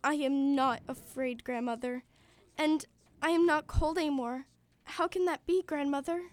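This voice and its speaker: A woman's voice: high-pitched, fairly even intonation, moderate pace, lightly acted. A woman playing a poor, polite, hopeful young match girl in a radio play, speaking earnestly and formally. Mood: yearning, wondering. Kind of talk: stage-style radio play acting.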